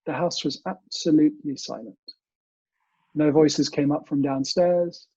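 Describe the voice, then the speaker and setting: A man, a guest reader who chats casually and reads storybooks aloud in a measured, expressive way over a video call. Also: on the high side, breathy edge. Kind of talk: storybook reading and casual chat. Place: indoors, remote online session. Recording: muffled, echoey video call audio.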